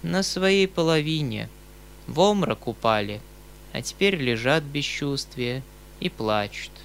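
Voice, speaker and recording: Audiobook role: male reader as woeful old-fashioned house servant, higher-pitched, folksy, lamenting. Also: expressive, a little slow, vocal fry, fairly bright tone, slight background noise, heavily acted. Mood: doleful, alarmed.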